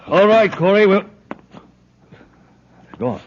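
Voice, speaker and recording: An actor playing a scheming, ruthless outlaw in 1950s radio adventure style, urgent and conspiratorial, on a noisy old recording. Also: male voice, high-pitched, lively intonation, drawn-out words, highs cut off, heavily acted. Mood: menacing.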